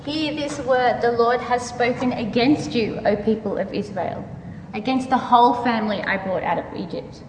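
A woman with a slightly high voice delivers a solemn, declamatory reading of scripture. She is heard from some way off in an echoing hall, with a long tail of reverb. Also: distant mic, slight background noise. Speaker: church member reading scripture aloud. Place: large reverberant room.

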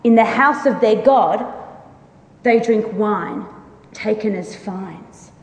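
A woman's voice: mid-range voice, slow. A woman giving a solemn, declamatory dramatic reading of scripture as a church member. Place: large reverberant room. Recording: echoey hall with long reverb.

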